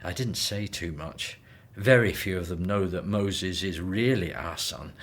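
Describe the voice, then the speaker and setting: A man playing a cautious, devout older Hebrew slave father with guarded but expressive delivery in a scripted radio drama. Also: deep voice, a little slow.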